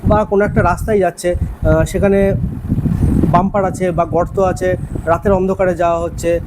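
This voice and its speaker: A man's voice: sits high, little pitch movement, normal speed, heavy background noise. A man with a West Bengal Bengali accent giving a casual unboxing review, explaining things directly to viewers. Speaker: vlogger and product reviewer.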